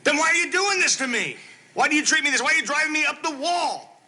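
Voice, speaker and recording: An actor playing a self-pitying husband who swings from whining to shouting in a comedy scene. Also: male voice, very high-pitched, animated, fast talker, heavily acted. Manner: melodramatic, whining then shouting. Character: self-pitying, explosive middle-aged husband.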